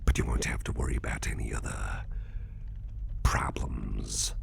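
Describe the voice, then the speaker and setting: A man playing a suspicious, coolly courteous boss in a whispered ASMR interrogation roleplay, speaking deliberately with a breathy, gravelly voice and musing hums. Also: a bass voice, steady tone, a little hiss or hum, exaggerated voice. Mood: coolly menacing, bargaining.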